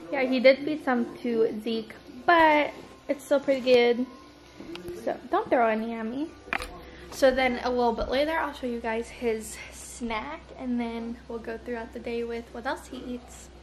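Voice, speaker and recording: A woman's voice: a little high, fairly even intonation, a little slow. A young woman in her twenties vlogging casually at home and talking straight to her viewers about her toddler's meals. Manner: chatty, addresses viewers directly. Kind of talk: casual vlog talk to camera. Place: home living room, indoors.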